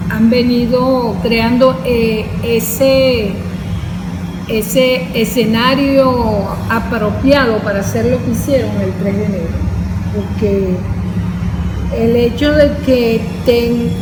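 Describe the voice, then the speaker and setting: A Venezuelan woman giving her opinion in a panel interview, hesitant and searching for words. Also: fairly high pitch. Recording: very echoey hall with loud noise.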